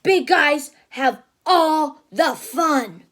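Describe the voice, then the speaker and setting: A woman's voice: high-pitched, animated, drawn-out words, fairly bright tone, full character voice. A woman voicing a big, kind, protective male elephant who speaks earnestly and indignantly.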